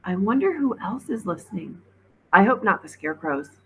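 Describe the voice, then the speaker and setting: A woman's voice: mid-range voice, big pitch swings, normal speed, fairly bright tone, a little hiss or hum. A woman voicing an earnest, anxious young girl in a storybook, with a questioning, pleading manner.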